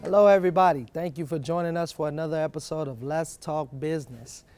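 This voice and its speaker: A man hosts a business interview with a slightly raised pitch. He speaks formally and welcomingly as he introduces his guest and moves into questions. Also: quick pace, music in the background. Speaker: podcast host.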